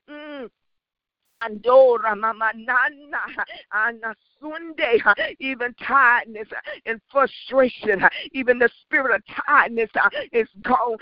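High voiced woman praying in tongues, slow and fervent, lotsa uh and eh, dull dry lo-fi sound. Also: no room sound. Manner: fervent, rhythmic chanting, mixes tongues with English declarations; frequent "uh" and "eh" fillers. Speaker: prayer leader. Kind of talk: intercessory prayer in tongues.

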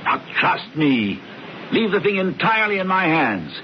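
A self-assured, scheming older brother coaxes with a lively, rising and falling delivery. The muffled old radio broadcast has a faint hiss behind it. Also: male voice, sits high, moderate pace. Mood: coaxing. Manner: coaxing, assured. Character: scheming confident older brother, smooth talker. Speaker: brother George. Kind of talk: 1970s radio drama acting.

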